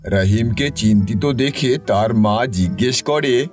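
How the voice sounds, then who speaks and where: A man's voice: medium pitch, takes it slowly, a little mellow. Male narrator for a cartoon story, scripted read, mid register, light music bed.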